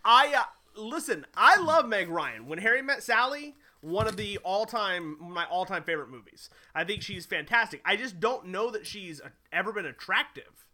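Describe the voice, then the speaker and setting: A man's voice: high-pitched, expressive, normal speed, pushed and bright. A man hosting a casual film chat, steering the talk with many restarts. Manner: hesitant, many restarts and fillers while guiding the talk. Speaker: podcast host steering the discussion.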